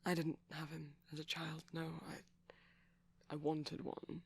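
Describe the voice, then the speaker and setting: A man speaking softly and breathily in an ASMR boyfriend roleplay as a shy, gentle vampire, hesitant and often slipping into whispers. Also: a little high, completely flat delivery, unhurried, husky. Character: shy, gentle pastel vampire boyfriend showing off plush toys.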